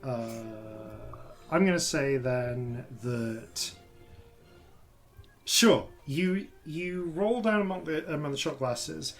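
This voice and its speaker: A man who grew up in Yorkshire, with an RP accent touched by Yorkshire vowels, running a tabletop game as dungeon master in halting, filler-heavy descriptions. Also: medium pitch, faint music behind. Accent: RP with Yorkshire traces. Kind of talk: improvised tabletop game narration.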